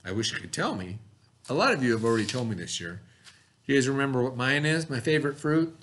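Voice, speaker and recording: A middle-aged American man, an elementary teacher, reading a lesson aloud to children in an expressive, instructional manner. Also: mid-range voice. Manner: expressive, instructional, pauses to ask the listener questions. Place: small indoor classroom, at a desk.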